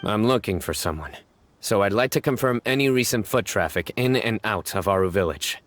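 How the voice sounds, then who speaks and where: An actor playing a stern, composed young judge in a video game, speaking in a measured, formal, deadpan manner. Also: male voice, fairly low pitch, a little hiss or hum, close to an everyday voice. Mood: polite, businesslike.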